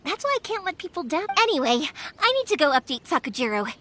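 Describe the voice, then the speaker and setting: An actress voicing a cheerful, energetic young female fireworks maker in a game. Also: sits high, fast talker. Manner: bubbly and energetic. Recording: game music underneath. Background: game soundtrack.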